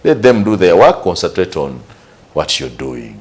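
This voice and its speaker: A man's voice: deep voice, sing-song, moderate pace. A man from Uganda with a Ugandan English accent, giving conversational commentary on current affairs and asking rhetorical questions. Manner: conversational, repeats and lists points, rhetorical questions. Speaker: news show host.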